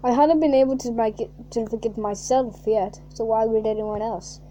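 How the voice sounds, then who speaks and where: A woman reading a fan fiction aloud in a mocking way, reading flatly and then bursting into shrieking, laughing asides. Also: fairly high pitch, normal speed, highs cut off, a little hiss or hum.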